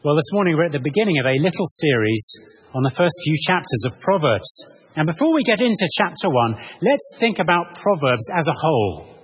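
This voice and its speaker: A man preaching an expository sermon in a measured, explanatory manner, posing rhetorical questions to his listeners. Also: on the high side, big pitch swings, husky. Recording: muffled, reverberant large hall.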